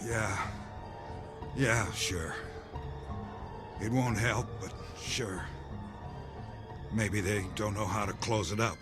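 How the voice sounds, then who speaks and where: A low-pitched man , an actor plays a weary scientist who knew the end was coming. He explains things bluntly and with resignation in a dramatic scene, over clear background music. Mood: resigned, regretful. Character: weary, fatalistic male insider scientist facing the apocalypse. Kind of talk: dramatic acting.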